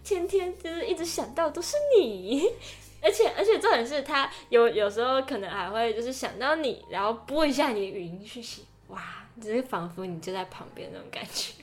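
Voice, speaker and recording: A woman's voice: on the high side, fairly quick, faint music behind. A young adult woman with a Taiwanese Mandarin accent co-hosts a casual podcast, telling stories animatedly and acting out the lines. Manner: animated storytelling, acting out quotes and inner thoughts. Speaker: podcast co-host. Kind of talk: casual podcast chat with personal anecdotes.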